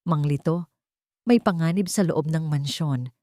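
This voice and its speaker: Storybook nurse played by a woman narrator, Filipino, earnest, respectful plea, lowish voice, dry sound. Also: measured pace, dark tone, dry recording. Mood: earnest pleading. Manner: respectful, pleading. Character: earnest, anxious young female nurse writing a plea.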